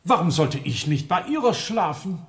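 A man's voice: sits high, very animated, normal speed. An actor playing a wry, witty clergyman with precise delivery in a comic radio play.

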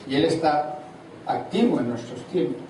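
A man's voice: on the high side, normal speed. An older man preaching at a church seminar, asking the congregation questions as he goes. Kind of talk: seminar sermon. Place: large hall at a church seminar. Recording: echoey hall from far off, audible noise.

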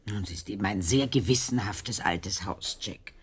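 An actress playing a concerned, inquisitive Victorian woman in a German radio play, with an expressive, questioning and musing delivery. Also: rumbling low, normal speed, husky. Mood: curious, wry.

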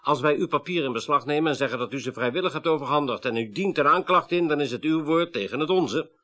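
An actor playing a firm police detective in a radio play, formal, measured and slyly insistent. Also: male voice, on the high side, bright, forceful tone. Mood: slyly threatening. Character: firm, politely pressing male police detective. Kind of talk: 1980s Dutch radio play acting.

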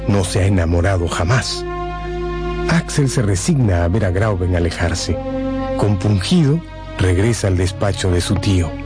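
A man's voice: sits low, expressive, normal speed. Male radio drama narrator over music.

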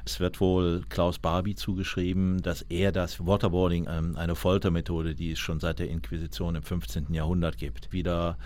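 Deep, even-toned male expert. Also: normal speed, hardly any pauses, dry recording. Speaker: expert commentator. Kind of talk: explanatory interview commentary.

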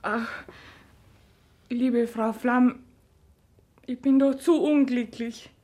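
High-voiced, stubborn young country daughter. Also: female voice, fairly even intonation, extremely slow, mellow. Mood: miserable. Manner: defiant, plaintive. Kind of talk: naturalistic radio play acting.

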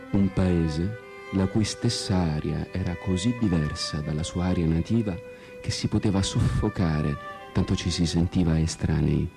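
A man performing a dramatic literary monologue as a radio theatre storyteller, with an ironic, brooding, theatrical manner. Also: sits low. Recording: guitar music behind the voice. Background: guitar music.

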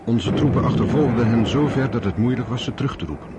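A man's voice: medium pitch, fast, very noisy. A man giving scripted, dramatic narration as the narrator of a radio drama. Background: loud music.

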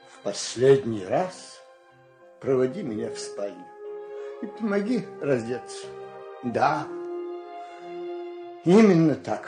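A man's voice: mid-range voice, warm tone, reverberant. An older man acting a proud, weary aging king in a theatrical, declamatory, imperious manner in an audio fairy tale. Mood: resigned. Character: proud aging king, commanding and weary, resigned to die fighting.

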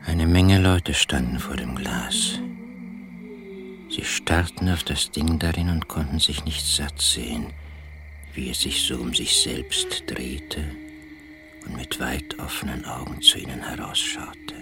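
A brooding country storyteller with an extremely deep voice and wide swings in pitch. His narration is hushed and deliberate, near a whisper at times, over music, as in an eerie radio play. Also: wildly swooping pitch, exaggerated voice. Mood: hushed, fascinated. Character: brooding country man recounting an eerie tale. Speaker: first-person narrator.

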